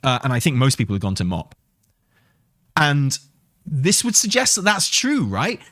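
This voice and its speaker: A man's voice: medium pitch, huge pitch swings, moderate pace, fairly bright tone, dry recording, studio quality. A man talking casually as a gaming stream host, rambling and enthusiastic, with some swearing. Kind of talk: casual livestream chat and commentary on game news.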